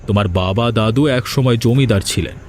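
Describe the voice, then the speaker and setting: A man's voice: lowish voice, fairly quick, a little mellow, close to an everyday voice. A man voicing a scheming older father-in-law, insistent and protective of his daughter, in a fairy-tale cartoon. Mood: conspiratorial, insistent.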